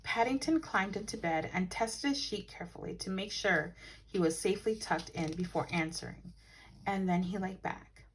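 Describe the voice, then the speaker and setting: A woman reading a children's story aloud as a teacher would, animated and with dramatic emphasis for young listeners. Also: medium pitch, moderate pace, slight background noise, low-quality recording. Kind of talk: expressive storybook read-aloud.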